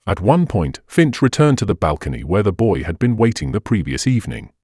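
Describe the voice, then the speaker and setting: Male mystery narrator: measured, dramatic, lowish voice, expansive intonation, dry studio-like sound. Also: big pitch swings, a little mellow, husky, dry recording. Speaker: audiobook narrator. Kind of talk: scripted narration of a detective mystery.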